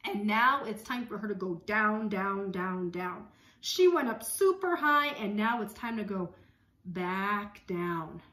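A woman in her thirties leading songs and story time for young children, speaking in simple, repetitive, expressive phrases. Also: medium pitch, takes it slowly, echoey room. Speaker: speech-language pathologist leading circle time. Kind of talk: children's songs and read-aloud lesson. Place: small room at home.

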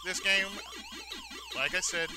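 High-pitched, animated man rambling over a game. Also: slow. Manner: rambling, sentimental, repeats phrases. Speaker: gaming video host. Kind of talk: casual let's-play commentary. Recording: noisy, game sounds behind voice. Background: arcade-style video game sounds.

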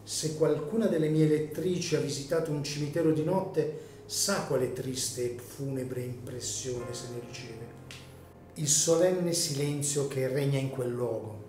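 A man narrating a gothic radio drama in a solemn, theatrical manner with deliberate phrasing. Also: medium pitch, a little mellow. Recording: echoey room, music behind.

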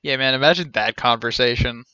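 A man in his thirties streams a game with casual, wry commentary. His voice is bright and forceful at a medium pitch, recorded dry on a slightly dull mic. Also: fairly quick, no echo. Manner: joking, wry. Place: small indoor room, seated.

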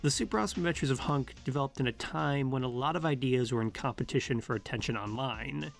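Measured male video essayist over music. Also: mid-range voice, gravelly. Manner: measured, formal. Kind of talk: scripted analytical narration.

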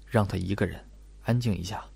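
A man playing a calm, clever young male student detective in an audiobook, measured and explanatory. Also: deep voice, vocal fry, breathy edge, no echo, pitched below the performer's own voice, heavily acted. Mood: hushed.

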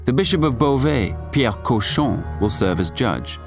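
A man's voice: mid-range voice, lively intonation, measured pace. A man playing the grave, formal narrator of a scripted historical audio drama. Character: solemn male narrator of medieval history drama. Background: music.

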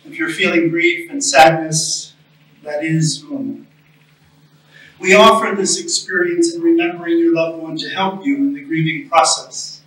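A middle-aged man speaking as a chaplain at a memorial service, deliberate and solemn, pausing between phrases. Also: fairly high pitch, fairly even intonation, a bit bright. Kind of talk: memorial homily, reading a reflective story. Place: small chapel, indoors at a lectern. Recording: echoey room, distant microphone.

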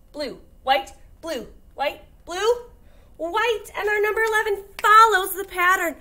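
A young woman speaking as a kindergarten teacher, animated and encouraging, giving a lesson to small children. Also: sits high. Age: young adult. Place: indoor classroom.

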